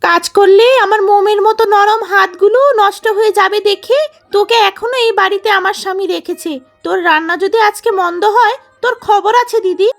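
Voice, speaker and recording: A woman's voice: very high-pitched, fairly even intonation, a little fast, faint music behind. A woman voicing a spoiled, spiteful young second wife in a cartoon story, haughty, scolding and whining. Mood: haughty, scornful. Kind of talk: melodramatic cartoon story acting.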